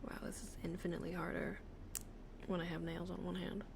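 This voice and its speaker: A young Canadian woman from the West Coast with an accent close to Californian, whispering softly and chatting as an ASMR streamer on a close microphone. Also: on the low side, level tone, brisk, vocal fry, background noise. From western Canada. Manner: soft whispering, chatty, laughs often.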